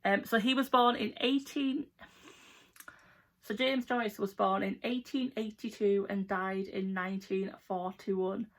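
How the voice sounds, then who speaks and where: A woman's voice: mid-range voice, fairly even intonation, measured pace. A young adult woman with an English accent, chatting casually and informally to viewers as a book vlogger, rambling a little. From England. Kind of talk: casual chat to camera.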